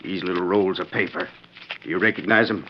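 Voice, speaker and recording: A man's voice: sits low, fairly even intonation, brisk, natural voice. An actor playing a serious, watchful sheriff's henchman, earnest in manner, in a 1940s radio western. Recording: muffled, hissy old radio broadcast.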